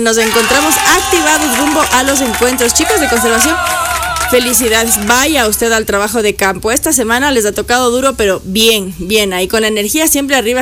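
A middle-aged woman hosts a morning radio show in a chatty, playful way, talking straight to her listeners. Her voice sits a little high and comes over a studio mic, with music playing faintly underneath. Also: a bit bright. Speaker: radio host. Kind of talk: casual morning radio chat. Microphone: studio microphone.

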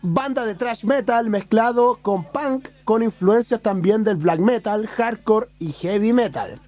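A Chilean man with a hoarse, worn throat, presenting a music segment on radio in casual, sweary slang. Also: high-pitched, measured pace, darkish tone. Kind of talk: casual music radio chat with band introductions.

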